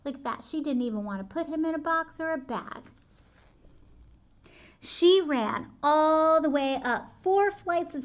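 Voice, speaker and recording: A middle-aged woman reading a picture book aloud to young children at home, expressive, pausing to explain and ask questions. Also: sits high. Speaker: storytime reader. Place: small room at home, indoors.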